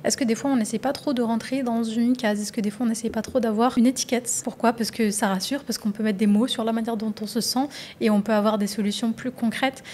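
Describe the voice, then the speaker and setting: Young female psychologist, solo podcast host; slightly high voice, reflective and meandering delivery; faint low rumble in the background. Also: fairly even intonation, fast talker, a little mellow. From France. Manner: thoughtful, rambling with many restarts and fillers.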